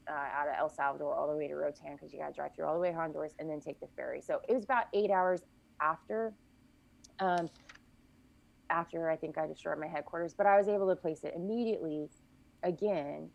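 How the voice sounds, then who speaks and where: A woman speaking in a lower register with a gravelly, creaky edge, recounting events at length as a podcast guest. Her delivery is casual and conversational, and she often corrects herself mid-thought. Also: poor audio. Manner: long detailed recounting, frequent self-corrections.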